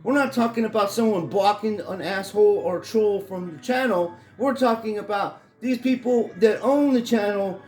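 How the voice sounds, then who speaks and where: A middle-aged man with a hoarse voice, ranting angrily and profanely in a rambling home vlog. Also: high voice, normal speed, few pauses, a little mellow, reverberant. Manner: angry, profane, rambling rant. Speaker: vlogger. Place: his home in Florida.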